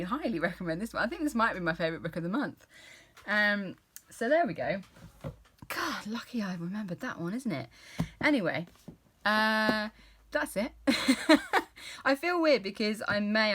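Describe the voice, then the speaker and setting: A British woman in her early thirties talks through her reading in a loose, rambling way, full of ums and likes. Her voice sits a touch on the low side. She sounds keen and chatty throughout. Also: fairly bright tone. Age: 30s. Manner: chatty, rambling, enthusiastic with frequent fillers. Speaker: book vlogger. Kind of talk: casual book review chat.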